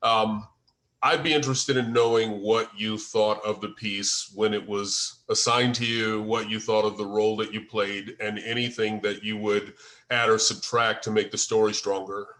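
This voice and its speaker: A man leading a discussion of a script on a video call, explaining in a deliberate way. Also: on the low side, steady tone, a bit bright. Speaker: playwright leading a table-read feedback session. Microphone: video call microphone.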